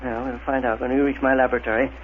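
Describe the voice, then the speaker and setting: A man's voice: medium pitch, level tone, fast talker, natural voice. An actor playing a learned male scientist, precise, formal and theatrical, in a 1930s radio adventure serial. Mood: concerned, businesslike. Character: learned, deliberate scientist doctor with his own laboratory. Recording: muffled, noisy old 1930s radio.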